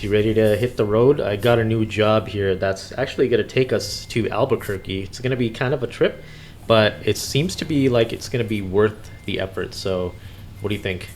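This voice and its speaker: A man hosting a gaming video in casual, easygoing chat, with a hesitant, halting delivery. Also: mid-range voice, a little mellow. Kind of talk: casual roleplay chat while driving in a game. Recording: music behind the voice. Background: music.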